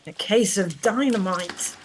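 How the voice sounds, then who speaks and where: An actress playing a wry, careful underground weapons smuggler in a stage drama, terse and matter-of-fact. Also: female voice, mid-range voice, a little slow, slight background noise, natural voice. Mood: cautious. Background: faint music.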